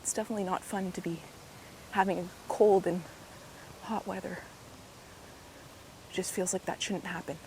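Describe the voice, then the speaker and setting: A woman, hoarse and a bit raspy from a cold, chatting casually as she walks through a park and vlogs on a handheld camera, often dropping into soft asides. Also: fairly even intonation, moderate pace, pauses often, slight background noise, low-quality recording. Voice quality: raspy, slightly deep. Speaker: walking vlogger. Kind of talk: casual walking commentary. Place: outdoors in a park while walking. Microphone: handheld gimbal camera mic. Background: faint outdoor ambience.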